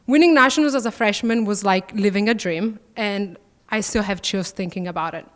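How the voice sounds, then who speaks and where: A young woman in her twenties with an Italian accent, a student athlete giving a prepared speech at a podium, warm and gently self-deprecating. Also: mid-range voice, normal speed. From Italy. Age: 20s. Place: large banquet hall, at a podium. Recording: reverberant banquet hall.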